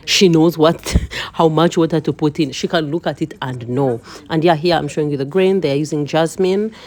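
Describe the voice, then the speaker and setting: Casting: female vlogger, low voice, chatty and expressive home cook. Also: moderate pace. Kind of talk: casual vlog narration.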